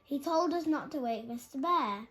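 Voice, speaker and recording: A woman's voice: sits high, animated, moderate pace, fairly bright tone, pitched above the performer's own voice. A woman voicing small, anxious squirrels and rabbits in a storybook, pleading and fretful. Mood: worried pleading. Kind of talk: storybook character voice. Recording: clearly noisy background.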